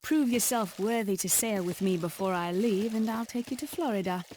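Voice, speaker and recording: A woman's voice: mid-range voice, normal speed, a little mellow, slight background noise, lightly acted. An actress playing a cocky, sly female pirate captain in a game cutscene, teasing and tough. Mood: shrewd. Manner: teasing, bold. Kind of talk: video game cutscene acting.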